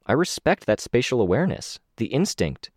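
A man reading an audiobook, voicing a composed young woman hero who speaks in a measured, respectful way. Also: medium pitch, big pitch swings, no room sound, clean studio sound, voice pushed up.

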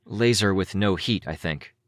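A man's voice: low voice, normal speed, no room sound, studio quality, voice pushed up. A man voicing a subdued, wounded young man in a story, stoic and murmuring as if indifferent.

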